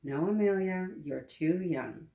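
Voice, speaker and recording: A woman's voice: on the low side, measured pace, mellow. A woman playing a stern, firm mother. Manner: stern, scolding. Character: firm, patient mother of young children. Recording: echoey room.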